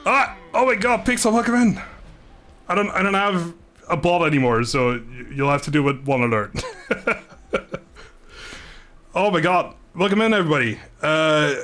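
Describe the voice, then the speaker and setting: A man's voice: a little high, big pitch swings, normal speed, long pauses, fairly bright tone. A man hosting a live game stream, chatting casually and jokingly with his viewers and often laughing. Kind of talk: casual live gaming commentary and chat.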